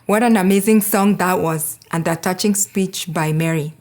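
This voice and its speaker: A woman's voice: lowish voice, normal speed, a little mellow. Earnest woman giving a speech. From Kenya. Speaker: board member giving a speech. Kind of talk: prepared gala speech.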